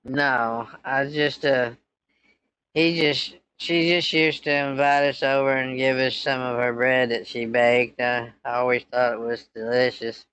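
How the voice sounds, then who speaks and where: A man's voice: medium pitch, level tone, measured pace, a bit bright, smooth, no echo, low-quality recording. A man in his twenties, a scambaiter posing as a son, chatting casually in a hesitant, rambling way. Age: 20s. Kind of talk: casual phone chat.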